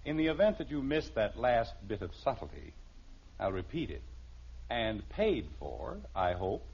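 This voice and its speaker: A man's voice: fairly low pitch, big pitch swings, measured pace. An actor playing an exasperated, dryly sarcastic middle-aged American father in a 1950s radio sitcom. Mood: stern, weary. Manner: dry sarcasm, clipped demanding questions. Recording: dull, noisy old radio recording.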